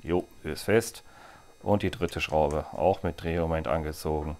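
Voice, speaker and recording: A man's voice: a bass voice, steady tone, a little slow, darkish tone. A man in his forties chatting casually as he fits parts to a bike in a small workshop, with jokey asides. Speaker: bike gear vlogger. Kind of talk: hands-on product demo.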